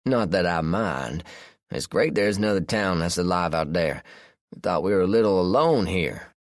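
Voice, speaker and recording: A man's voice: low voice, animated, moderate pace, no echo, studio quality. A man voicing a friendly, easygoing rural man of about fifty with a Southern drawl, in an audiobook. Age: 50s.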